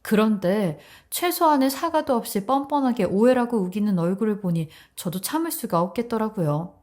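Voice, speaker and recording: A woman voicing a young bride, sharp and confrontational, outraged and protective of her mother in a dramatized story. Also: medium pitch, expressive, normal speed, lightly acted. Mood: defiant.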